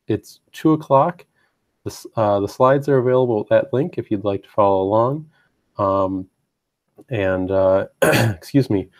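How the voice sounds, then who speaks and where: A low-voiced man, a bit stuffy from a cold, walks viewers through a software training over a live stream. He hesitates often, clears his throat and drops self-deprecating asides. The sound is dull and dry, like a home webinar mic in a small room. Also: dry recording. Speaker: university web team presenter.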